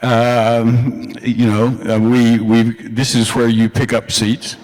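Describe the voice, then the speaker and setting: Man, audience question, hesitant, average pitch. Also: monotone, takes it slowly, darkish tone, low-quality recording. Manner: hesitant, with many fillers. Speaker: audience member asking a question. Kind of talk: casual question from the floor.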